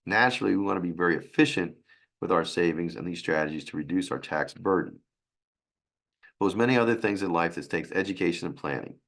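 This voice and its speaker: A man with a raspy, hoarse voice from a sinus infection, presenting a financial webinar in a halting way. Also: low voice, fairly bright tone. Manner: halting, with restarts and fillers.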